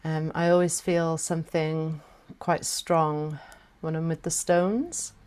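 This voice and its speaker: A woman with a South Welsh accent talks unhurriedly in a somewhat low voice, leading a live workshop over a video call. She keeps it chatty, with friendly asides and frequent laughs. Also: flat delivery, takes it slowly, darkish tone, clear, resonant tone. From South Wales. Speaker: chant workshop teacher. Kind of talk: casual live online workshop talk.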